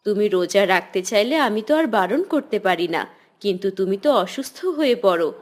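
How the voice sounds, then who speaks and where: A woman playing a caring, slightly worried mother in a cartoon, speaking gently and with concern. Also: mid-range voice, lively intonation, fairly quick, close to an everyday voice. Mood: concerned, yielding. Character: caring, worried but yielding mother of a schoolboy. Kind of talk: cartoon dialogue.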